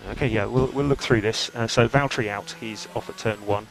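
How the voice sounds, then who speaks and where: A man's voice: lowish voice, fairly even intonation, brisk. A male race engineer giving brief updates and instructions to a driver over team radio. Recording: very noisy team radio link.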